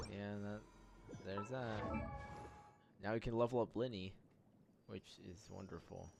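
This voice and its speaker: A man's voice: lowish voice, vocal fry, heavy background noise. A man giving casual, rambling gameplay commentary, repeating phrases as he thinks aloud. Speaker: gameplay streamer. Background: loud game music.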